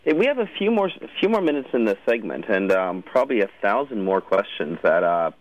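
A man's voice: fairly low pitch, very animated, brisk, lo-fi sound. A man hosting a conversational interview, putting questions and comments to his guest. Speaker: radio or podcast host interviewing a guest.

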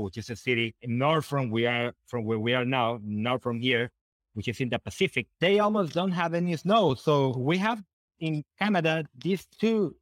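A man's voice: mid-range voice, dry recording. A middle-aged man from Honduras with a Honduran Spanish accent, chatting as an interview guest and often repeating words as he searches for phrasing. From northern coast of Honduras. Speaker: podcast guest. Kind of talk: casual interview conversation.

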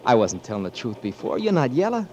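A man's voice: mid-range voice, huge pitch swings, fast, noisy background. An actor playing a frank, caring young Marine who pleads earnestly with his friend, in 1940s radio-drama style. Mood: tender.

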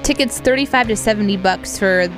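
A woman co-hosting a casual music review chat, thoughtful and soft-spoken, hedging her points. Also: medium pitch, fairly quick, low-quality recording. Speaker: podcast co-host. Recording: music playing in background.

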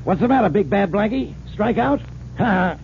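Gravelly, grumbling police detective. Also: male voice, sits high, animated, normal speed. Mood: mocking. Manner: grumbling, mocking. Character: grumpy, drowsy police detective, needling and sarcastic. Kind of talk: 1940s radio acting. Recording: tinny, hissy old radio recording.

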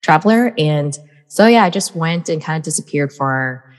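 A woman's voice: sits low, expressive, moderate pace. A woman in her thirties from the United States, telling her life story as a podcast guest in a chatty way, full of "um" and "like". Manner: chatty, many fillers like "um" and "like". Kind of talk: casual storytelling. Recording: long hall reverb.

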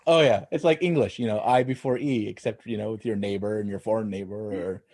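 A man hosting a casual podcast in a relaxed, easygoing way, cursing now and then. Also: on the low side, a little fast.

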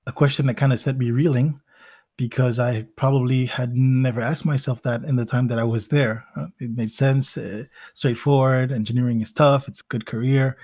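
A man's voice: mid-range voice, fairly even intonation, moderate pace, a little mellow, no room sound, studio quality. A young man from Belgium, a podcast guest telling his life story in a thoughtful way, often restarting phrases as he searches for words. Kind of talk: conversational interview storytelling.

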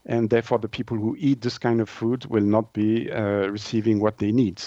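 A man from Switzerland, a native French speaker, explaining things as an interview guest in halting English, searching for words. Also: on the low side, flat delivery, mellow, dry recording, muffled recording. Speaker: naturopath and author, interview guest.

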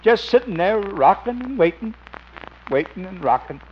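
Sly folksy petty crook, high lively voice, wheedling, wistful, old radio comedy, muffled recording. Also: male voice, moderate pace, slight background noise. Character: wheedling, wistful small-time crook pleading to visit mother. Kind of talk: 1950s radio comedy acting.

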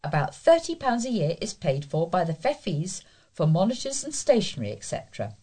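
A woman's voice: fairly low pitch, sing-song, fairly quick, darkish tone, slightly rough. A woman reading scripted local news aloud as a volunteer news reader.

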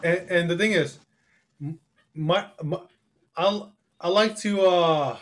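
A man's voice: high-pitched, slow pace. A young man chatting casually on a podcast, slangy and swearing often, calling people dude. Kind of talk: casual chat.

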